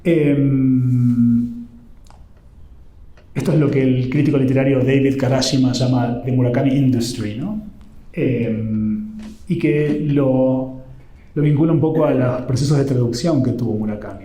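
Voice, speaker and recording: A man's voice: medium pitch, mellow, reverberant. A man with an Argentine accent giving an informal talk on literature, often ending his phrases with a questioning "¿no?". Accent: Argentine Spanish. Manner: conversational, keeps adding "¿no?" at the end of phrases. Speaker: literature lecturer.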